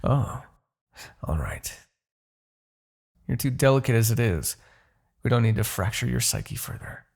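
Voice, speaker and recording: A man voicing an arrogant, brooding demon king in a fantasy ASMR roleplay, condescending and theatrical, with a husky, gravelly low voice and pauses. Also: wildly swooping pitch, darkish tone, full character voice. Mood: brooding, bitter. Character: arrogant demon king, gloating yet begrudgingly attached captor.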